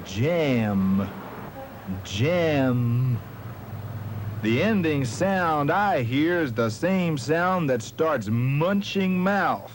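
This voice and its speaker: A man playing an earnest, methodical policeman on a children's educational puppet show, speaking deliberately and enunciating carefully. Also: on the high side, animated, slight background noise. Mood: earnest, explaining.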